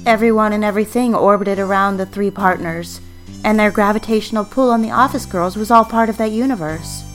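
A young woman playing a yearning, self-justifying secretary engaged to be married, narrating an audio drama in the first person in a measured, wistful, confiding way. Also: mid-range voice, soft background music, natural voice, low-quality recording. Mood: resolute, self-justifying.

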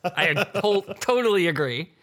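Bright-voiced man in a roundtable discussion. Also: high-pitched, expressive, a little slow, a little hiss or hum. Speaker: panelist.

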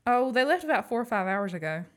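A woman's voice: on the high side, big pitch swings, fast. A woman acting a plucky, frank young woman in an amateur radio play. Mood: calm, matter-of-fact. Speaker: student actor.

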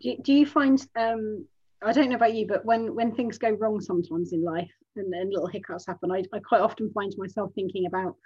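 A dull, slightly lo-fi recording of a British woman in her thirties. She interviews casually, with a husky edge to her voice and a quick, conversational delivery. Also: mid-range voice, steady tone, a little mellow.

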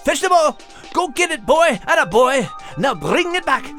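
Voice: sits high, very animated, normal speed, a bit bright, faint music behind, heavily acted. An actor voicing a grumbling, cheeky male Scottie dog with a Scottish accent and a blustery manner. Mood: bossy, excited. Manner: blustery, protesting, folksy. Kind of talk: scripted character acting for children's podcast.

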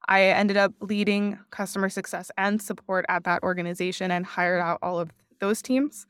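A woman from Miami speaks as a guest on an interview podcast, reflective and earnest, with a smooth, clear voice at a middle pitch. Her thirties-age speech is casual, with frequent "like". The sound is dry and close. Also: fairly even intonation, normal speed, fairly bright tone, no echo. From Miami, United States. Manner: reflective, earnest, uses "like" often. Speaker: podcast guest, customer success leader. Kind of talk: conversational interview.

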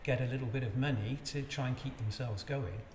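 Fifties man raised in Wales, podcast guest, calm and hesitant; echoey room, faint noise. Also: medium pitch. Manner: measured, storytelling, a little hesitant. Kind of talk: casual conversation.

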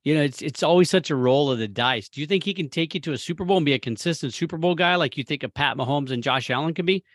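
An American man co-hosting a casual sports chat, speaking in opinionated, rambling and animated bursts. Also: a little high, fast, no echo, clean studio sound. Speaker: sports talk co-host.

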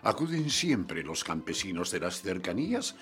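A man narrating a radio play in the first person, telling his story with lively expression. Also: deep voice, normal speed.

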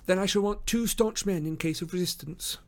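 A man playing a brisk, quietly amused gentleman detective with a precise, commanding manner in an audiobook story. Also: high-pitched, voice pushed up.

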